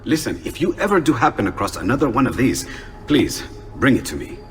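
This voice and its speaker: An actor playing a playful, eccentric Renaissance inventor with an Italian accent in a theatrical, expressive game performance. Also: male voice, medium pitch, fairly quick, slight background noise, natural voice. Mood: amused, eager. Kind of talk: video game dramatic acting. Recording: long reverb, music behind.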